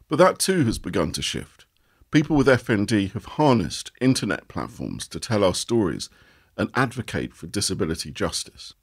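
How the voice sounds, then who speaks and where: A man reading a first-person essay aloud as scripted narration, in an expressive, measured manner. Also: fairly low pitch, husky. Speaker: audio article reader.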